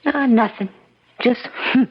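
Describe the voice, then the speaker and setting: High-voiced feisty frontier woman. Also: measured pace, breathy edge. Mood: skeptical. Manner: sharp-tongued, challenging, plain-spoken. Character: feisty, protective, impatient young frontier woman. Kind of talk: old-time radio drama acting. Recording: dull lo-fi radio drama recording.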